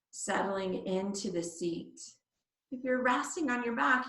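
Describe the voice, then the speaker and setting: A woman's voice: medium pitch, a bit bright. Woman guiding yoga in an echoey room. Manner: instructing in short phrases with long pauses. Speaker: yoga teacher. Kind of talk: guided body scan meditation in a live online yoga class. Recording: echoey room, distant microphone.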